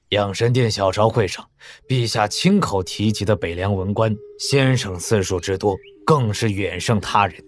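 An actor voices a cautious male court official in a wuxia audio drama. His voice is fairly low, and his delivery is measured and formal. He keeps a courteous, reserved manner throughout. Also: no echo, natural voice.

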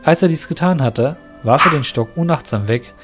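A man reading the narration of an amateur radio play, in a steady, measured way. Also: fairly high pitch, over loud music. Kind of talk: scripted storytelling narration.

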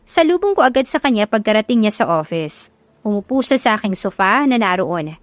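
A woman's voice: medium pitch, lively intonation, a little fast, no room sound. A woman with a Filipino accent reading a scripted first-person story as an audiobook narrator.

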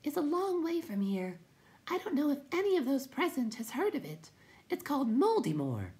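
A woman voicing a timid, embarrassed little will-o'-the-wisp spirit, polite and halting, for a storybook. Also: high-pitched, wildly swooping pitch, warm tone, pitched above the performer's own voice, full character voice. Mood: hesitant.

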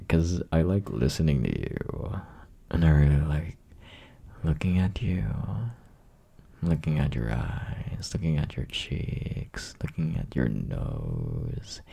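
Guy playing clingy dorky boyfriend asmr, raspy and slow, pitch moves around a lot, soft n teasing. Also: exaggerated voice. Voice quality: raspy, gravelly. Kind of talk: ASMR roleplay, intimate one-sided conversation.

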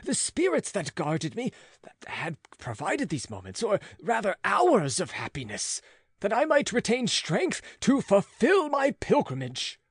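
A man narrating a gothic audiobook in a theatrical manner, with emphatic swings and pauses. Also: high-pitched, wildly swooping pitch, slightly rough, no room sound, clean studio sound.

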